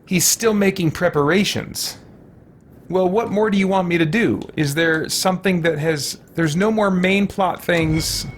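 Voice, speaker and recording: A man's voice: sits high, unhurried. A man giving casual gameplay commentary, puzzling out his options aloud as he plays, with background noise. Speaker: let's play host.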